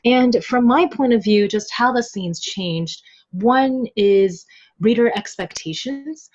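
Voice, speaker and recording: A woman's voice: fairly high pitch, expressive, slow pace, darkish tone, lo-fi sound. A woman chatting informally as an interview guest, explaining things in a conversational way. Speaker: podcast guest, web novel translator. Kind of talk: casual interview chat.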